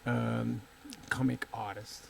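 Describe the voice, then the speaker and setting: Gravelly Dutch-accented man, flat and halting. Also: medium pitch. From the Netherlands. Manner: halting, repeats words. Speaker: guest artist.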